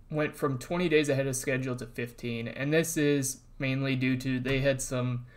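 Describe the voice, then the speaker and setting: A man narrating a casual voice-over update on a construction project, explaining relaxed and plainly with frequent ums and uhs. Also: medium pitch. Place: voice-over, not recorded on site.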